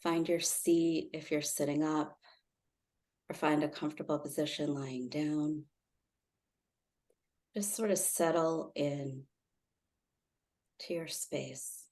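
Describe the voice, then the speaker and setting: Female meditation guide, low and dark-toned, soothing, with spacious pauses. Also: steady tone. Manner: soft, soothing, gently instructive with long pauses. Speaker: meditation guide, intuitive healer.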